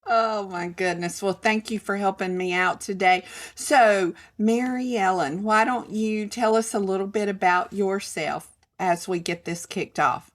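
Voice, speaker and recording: A woman hosting an interview podcast, introducing her guests in a slow, gentle manner. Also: medium pitch. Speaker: podcast host.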